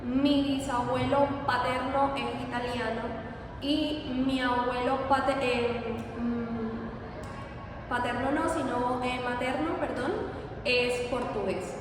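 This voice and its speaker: A woman's voice: high voice, steady tone, slow pace. A woman in her late twenties from Venezuela, giving a hesitant, self-correcting personal account as an interviewee. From Venezuela, Margarita Island. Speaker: interviewee, university student. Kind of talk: informal personal recollection in an interview. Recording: very echoey hall, distant mic, noisy.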